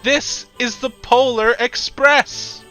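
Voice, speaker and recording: A hearty, commanding storybook train conductor, acted by a man, projects in a bright, raised pitch. His delivery is emphatic and theatrical, like someone making announcements, with music behind. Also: fairly even intonation, slow, heavily acted. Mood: booming, jolly. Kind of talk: storybook character voice.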